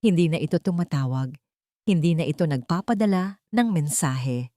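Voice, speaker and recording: Female narrator for scripted romantic drama; slightly low pitch, dry sound. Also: animated, normal speed, dark tone, no room sound. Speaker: story narrator.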